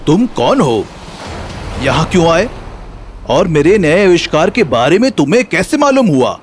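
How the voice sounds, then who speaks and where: A man voicing an earnest, determined inventor hero in an animated children's story, speaking with resolve. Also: fairly high pitch, unhurried, slight background noise. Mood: questioning.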